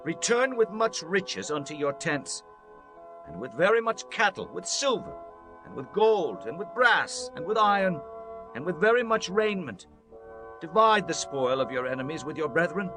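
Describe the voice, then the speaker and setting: A solemn, higher-voiced Israelite leader over music. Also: male voice, sing-song, moderate pace. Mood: solemn exhorting. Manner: formal, exhorting. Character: Joshua, solemn male Israelite leader addressing his tribes. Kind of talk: dramatized scripture audiobook acting.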